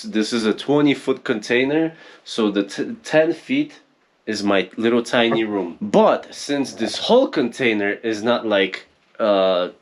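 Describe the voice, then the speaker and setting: A man from Poland with a Polish accent, chatting casually and rambling in a vlog from a small shipping-container room out in the desert. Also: mid-range voice. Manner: rambling, chatty, self-deprecating. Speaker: vlogger. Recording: steady background noise.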